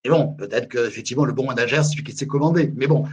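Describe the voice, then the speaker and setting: A man's voice: medium pitch, very fast, a little mellow, slightly rough. A man presenting a management webinar on a video call, emphatic, repeating words and often tagging on "en fait, hein". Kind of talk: live webinar talk on management.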